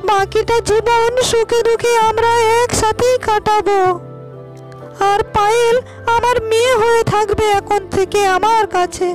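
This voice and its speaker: A woman doing very high-pitched cartoon village women. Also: fairly even intonation, a little slow, no room sound. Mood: earnest. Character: village women and girls in a moral tale, mothers and daughters. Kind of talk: cartoon dialogue acting. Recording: dry voice over background music.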